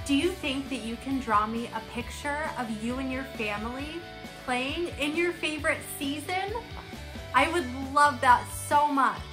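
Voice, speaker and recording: A young woman reading and discussing a picture book for small children, in an expressive, questioning teacher's manner. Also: sits high, unhurried. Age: young adult. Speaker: children's story-time teacher. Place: room at home, indoors. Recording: roomy echo, music underneath.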